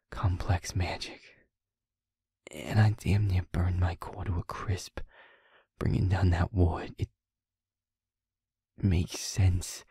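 A man playing a feisty, wounded young werewolf boyfriend in an intimate audio roleplay, breathy and husky, speaking haltingly with heavy pauses. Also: sits low, warm tone, dry recording, studio quality, heavily acted. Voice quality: breathy, husky, often half-whispered.

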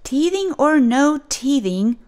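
Mother shark for a picture book voiced by a woman: reproving, reasonable, raised swooping pitch. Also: very animated, slow, a little mellow, exaggerated voice. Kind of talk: children's storybook character voice.

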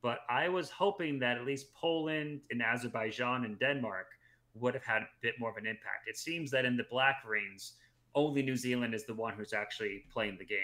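Bright-voiced man breaking down standings. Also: mid-range voice, fairly quick, poor audio. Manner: talkative, analytical, restarts mid-phrase. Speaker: podcast guest. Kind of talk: casual sports chat. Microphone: headset.

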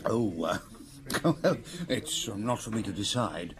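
An actor playing an affable, roguish village doctor in a radio drama, talking in a jovially evasive, hesitant way. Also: male voice, lowish voice, a little slow. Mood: evasive, sheepish.

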